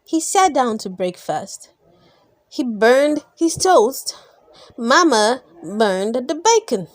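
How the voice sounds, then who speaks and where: A woman's voice: fairly high pitch, no echo, studio quality. A woman reading children's bedtime stories aloud expressively, drawing out key words and putting questions to young listeners. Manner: expressive, drawn-out emphasis, gasps and sighs, questions to young listeners. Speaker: storybook reader. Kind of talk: read-aloud children's bedtime story narration.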